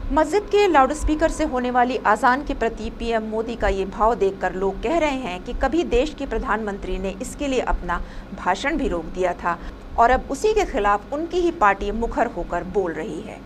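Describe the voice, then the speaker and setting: A woman's voice: fairly high pitch, expressive, moderate pace. A woman reading a scripted news report as a presenter, with some noise behind her.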